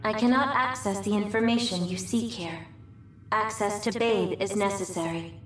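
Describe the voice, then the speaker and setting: A serene female guide of an archive terminal, acted by a woman, for a video game. She speaks in formal, measured, detached phrases. The voice echoes from a distance under clear music. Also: medium pitch, natural voice. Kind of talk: scripted video game voice acting.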